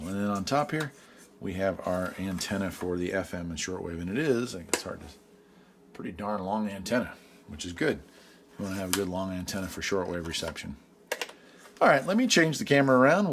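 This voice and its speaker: Man, low voice, easygoing folksy product reviewer, casual chat, faint background noise.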